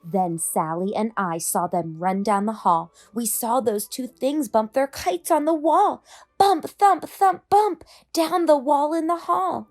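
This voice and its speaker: A woman with a high voice reads a children's storybook aloud. Her delivery is expressive and measured, following the rhymes for young listeners. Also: soft background music.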